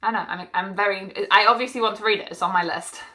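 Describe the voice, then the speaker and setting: Chatty, bright-voiced young woman, stuffy-nosed. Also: mid-range voice, steady tone, fast talker. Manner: chatty and rambling, with frequent fillers and asides. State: stuffy-nosed from hay fever. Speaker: book vlogger. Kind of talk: casual chat to camera about books.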